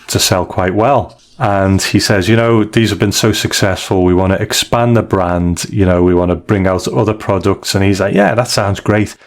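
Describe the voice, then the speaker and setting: A man hosting a podcast, recapping and reviewing stories in a casual, slightly hesitant conversational way. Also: lowish voice. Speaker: podcast host.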